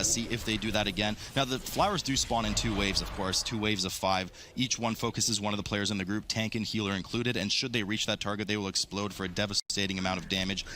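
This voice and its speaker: A man's voice: fairly low pitch, fairly even intonation, fast talker, hardly any pauses, background noise. A man giving live, analytical play-by-play commentary on an esports match, explaining as he goes.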